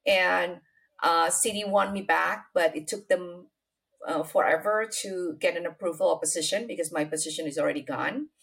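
A middle-aged woman from Indonesia with an Indonesian accent, telling her life story as an interview guest, unhurried and full of fillers. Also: fairly low pitch, vocal fry, a bit bright, rough recording. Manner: unhurried, many fillers and restarts, non-native grammar.

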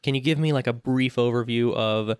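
A man hosting a church interview podcast, asking friendly, halting questions full of fillers. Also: mid-range voice, smooth, dry recording, studio quality. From Greenville, South Carolina. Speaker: podcast host.

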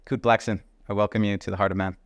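A man hosting a podcast, speaking earnestly and gratefully, with halting restarts and fillers. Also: fairly low pitch, flat delivery, dry recording. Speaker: podcast host. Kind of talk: casual interview.

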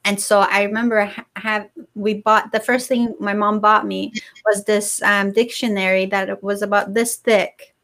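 A woman's voice: medium pitch, flat delivery, unhurried, a bit bright. A woman author chatting as a guest in an interview, eager and rambling with many restarts. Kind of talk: casual interview chat.

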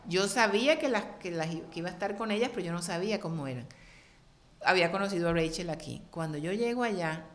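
A middle-aged woman from Colombia speaks as a guest in a relaxed interview. She tells her story with animated expression and changing intonation, acting out what others said. Her voice sits a little lower than most women's. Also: fast talker. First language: Spanish. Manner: lively, expressive, acts out quoted voices.

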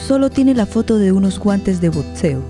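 A woman's voice: medium pitch, lively intonation, moderate pace. A woman giving a dramatic scripted narration of a radio play. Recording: soft guitar music under voice.

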